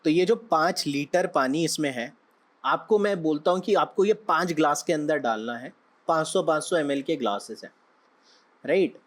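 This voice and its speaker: A young man teaching a trading lesson, his voice sitting a little high for a man. He sounds slightly hoarse. He talks in a loose, conversational way and keeps checking that his listeners follow. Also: normal speed. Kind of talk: explaining lesson with analogies.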